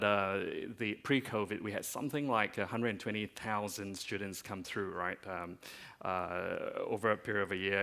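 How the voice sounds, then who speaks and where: Guy from malaysia on a panel, low gravelly voice, lots of uhs and ums. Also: fairly bright tone. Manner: hesitant, many fillers and restarts. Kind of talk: panel discussion remarks.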